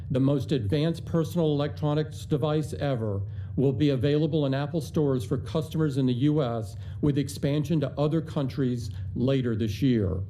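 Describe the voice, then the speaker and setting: An older man walks through quarterly figures in a steady, measured corporate tone. His voice is mellow and mid-pitched, and a faint hiss sits under the line. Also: poor audio. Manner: measured, upbeat corporate delivery with some hesitations. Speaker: company chief executive on an earnings call. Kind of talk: scripted earnings remarks, then unscripted answers.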